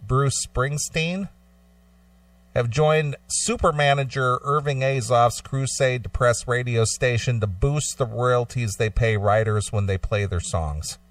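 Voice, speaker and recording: A man's voice: mid-range voice, a little slow, dry recording. Guy hosting a radio talk show, dry room, bit far from the mic, blunt and chatty. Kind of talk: casual radio talk, reading an article aloud. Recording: slightly distant microphone, dry sound.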